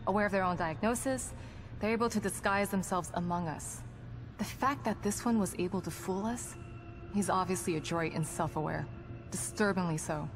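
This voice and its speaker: An actress playing a composed, guarded psychologist in a video game, speaking in precise, formal clinical terms. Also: female voice, fairly low pitch, moderate pace, natural voice. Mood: sober, analytical. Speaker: psychologist character in a horror game. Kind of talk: video game cutscene acting. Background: background music.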